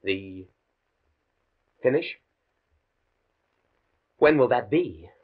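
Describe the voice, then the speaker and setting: Casting: cornered academic, earnest and hesitant, husky voice with animated swings, vintage radio thriller. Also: male voice, mid-range voice, very slow, full character voice. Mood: strained defiance. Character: anxious, principled male professor trapped by blackmail. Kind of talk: 1950 radio drama acting. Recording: muffled old radio recording.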